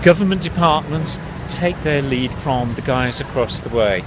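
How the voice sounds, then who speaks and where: A man's voice: medium pitch, moderate pace. A man from the UK, a consumer campaigner, talking earnestly and directly to viewers outdoors. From United Kingdom. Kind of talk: outdoor campaign vlog to camera. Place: outdoors on a city street with traffic. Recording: outdoor street noise.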